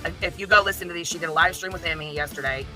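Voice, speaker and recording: A man's voice: fairly high pitch, racing, sharp tone, soft background music. A man arguing in an informal, heated way, blunt and emphatic, with constant swearing.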